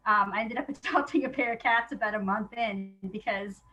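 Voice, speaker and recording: A woman's voice: medium pitch, fairly quick, pushed and bright, low-quality recording. A woman speaking as an interview guest in a casual, reflective way, candid and hesitant, often restarting her thoughts. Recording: noticeable background noise.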